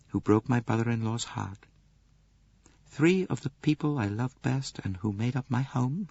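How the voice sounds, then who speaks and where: A man's voice: mid-range voice, no echo, lo-fi sound, voice pushed up. A man voicing an earnest, passionate young American countess in an audiobook, with a composed, incisive tone. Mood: grieving, passionate. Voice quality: rich, clear-cut, incisive tone. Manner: earnest, quietly emotional.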